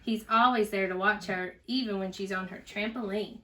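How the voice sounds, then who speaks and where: A woman reading a children's storybook aloud in an expressive, teacherly way, with chatty asides and questions to a young listener. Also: mid-range voice, normal speed, background noise. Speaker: parent reading a storybook aloud for a school read-aloud.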